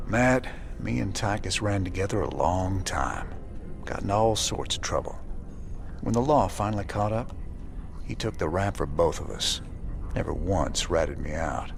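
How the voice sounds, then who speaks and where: An actor playing a gruff, loyal, middle-aged rebel commander with dry humor and a drawl in a sci-fi game. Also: male voice, low voice, animated, measured pace, full character voice. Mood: grave, loyal. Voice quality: gravelly drawl. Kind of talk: sci-fi game cutscene acting.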